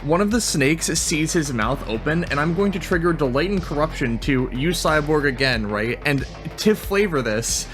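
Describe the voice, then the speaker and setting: A man playing a tabletop game, improvising his character's action in slangy, halting speech with swearing. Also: fairly high pitch, lively intonation, fairly bright tone, faint music behind. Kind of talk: casual, improvised action narration.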